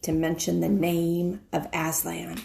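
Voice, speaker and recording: A woman's voice: lowish voice, level tone, gravelly, darkish tone. A middle-aged woman, a teacher, reading a storybook aloud expressively and stopping to explain it to her listeners. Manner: deliberate, pauses to explain to listeners. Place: indoors, wood-panelled room.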